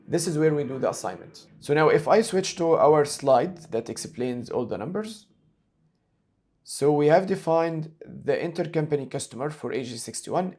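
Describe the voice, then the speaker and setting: Mellow-voiced man teaching software step by step. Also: a little high. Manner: step-by-step, explanatory, repeats key points. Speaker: software instructor. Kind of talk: screen-share tutorial narration.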